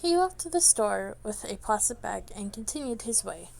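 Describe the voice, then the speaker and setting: A woman reading a story aloud as an amateur narrator, with a halting delivery that stumbles over words and goes back to reread them. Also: medium pitch, expressive, gravelly, a little mellow. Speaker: amateur fan fiction reader.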